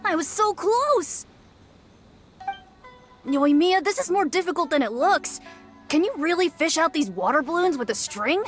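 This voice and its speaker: An eager, high-voiced young woman. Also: lively intonation, unhurried, long pauses, soft background music. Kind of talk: game dialogue.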